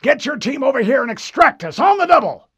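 A man's voice: very high voice, sing-song, a little fast, slightly rough, voice pushed up, heavily acted. A man voicing a barking vice admiral. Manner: barking orders, raised and forceful. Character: gruff older male vice admiral, imperious and impatient.

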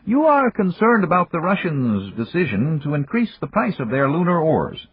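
A man voicing a burly older Japanese business magnate who speaks in a formal, measured way. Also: fairly high pitch, huge pitch swings, voice pushed up, exaggerated voice. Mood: grave. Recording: dry, phone-like, husky.

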